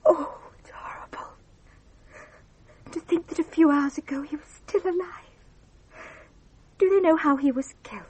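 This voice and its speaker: Actress as a high-voiced, pert young lady. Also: darkish tone, slight background noise, natural voice. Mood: horrified. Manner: teasing, brisk. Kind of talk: radio drama acting.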